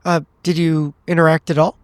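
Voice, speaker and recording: A man playing a courteous, measured FBI special agent in a tabletop horror game, formal and gently probing. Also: a little high, little pitch movement, no room sound, lightly acted. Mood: quietly pressuring.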